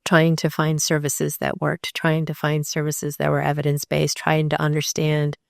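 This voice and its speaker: A woman's voice: low-pitched, completely flat delivery, moderate pace, vocal fry, no room sound, clean studio sound. A middle-aged woman working in behavioral health, speaking sincerely and steadily on a panel. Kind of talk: personal testimony on a panel.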